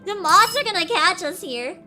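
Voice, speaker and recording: A woman's voice: very high voice, expressive, moderate pace, bright, forceful tone, soft background music. A young woman streamer commenting on a game with giggly, sarcastic chatter.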